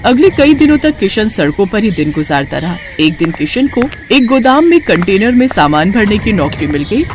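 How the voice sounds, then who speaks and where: A woman's voice: mid-range voice, very animated, normal speed. Woman narrating a story over noise. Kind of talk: scripted storytelling narration for a moral cartoon.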